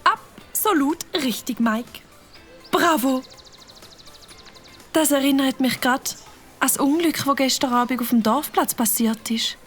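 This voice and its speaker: A woman voicing a motherly fox in a cartoon, with a Swiss German accent and a bright, coaxing, sing-song manner. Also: sits high, a little slow, pauses often, faint music behind. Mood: cheerful. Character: warm fox mother, motherly quiz host.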